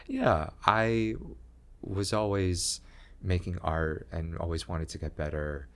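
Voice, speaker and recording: A young man talking slowly in a low voice with long pauses, chatting as a guest about his art and career. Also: animated, fairly bright tone. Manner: slow, low-voiced, pausing talk. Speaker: comedian and graphic design teacher, guest. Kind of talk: casual interview chat.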